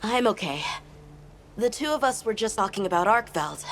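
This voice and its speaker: An actress voicing an earnest young apprentice in a game cutscene, careful and determined. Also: female voice, medium pitch, animated, normal speed, slight background noise, natural voice. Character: earnest young apprentice, eager to prove himself. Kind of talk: video game cutscene acting. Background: game score music.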